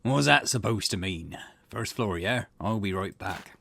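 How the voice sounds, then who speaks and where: A man voicing a gruff but caring school janitor in a visual novel game, with a folksy, paternal, grumbling manner. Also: fairly low pitch, animated, unhurried, bright, forceful tone, slight background noise, voice pushed down, full character voice.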